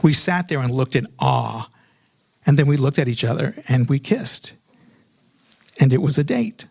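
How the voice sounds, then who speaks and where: A man's voice: medium pitch, expressive, normal speed, gravelly, darkish tone, husky. A middle-aged American man telling a personal story in a comedic, self-mocking way, animatedly acting out remembered dialogue.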